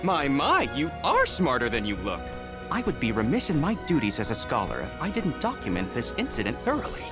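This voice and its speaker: A man's voice: medium pitch, huge pitch swings, brisk. Pompous male game scholar, smug and flowery, hugely swooping intonation, music behind. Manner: pompous, florid. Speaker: scholar character. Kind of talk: video game voice acting.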